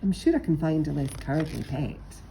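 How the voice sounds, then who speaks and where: A woman's voice: low voice, normal speed, gravelly, dark tone, a little hiss or hum, poor audio. A woman voicing a feisty, fed-up female parrot in an exclaiming, exasperated picture-book reading. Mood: disappointed.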